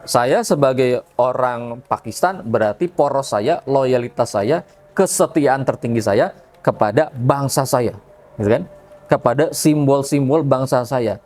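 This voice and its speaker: Man, medium pitch, explanatory manner, conversational religious discussion, frequent tag questions. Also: a little slow, darkish tone. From Indonesia. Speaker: religious speaker, podcast guest.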